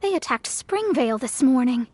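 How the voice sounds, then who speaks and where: A woman's voice: sits high, expressive, normal speed, darkish tone. A woman playing a worried travelling cart owner in an anime video game.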